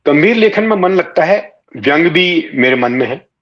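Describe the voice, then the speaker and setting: A man, giving measured, reflective interview answers phrase by phrase. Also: fairly high pitch, animated, a bit bright, highs cut off. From India. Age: 60s. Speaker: interview guest, writer and former broadcaster.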